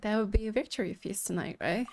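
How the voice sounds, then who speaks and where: A woman's voice: mid-range voice, fast, vocal fry, darkish tone. A woman playing a friendly young female traveler in an anime game.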